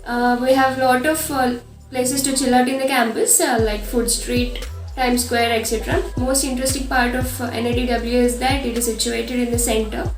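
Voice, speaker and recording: A woman's voice: on the high side, little pitch movement, moderate pace. A young adult woman with a South Indian accent, a college student giving a testimonial about campus life. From Karimnagar, India. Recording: roomy echo, distant mic, music behind.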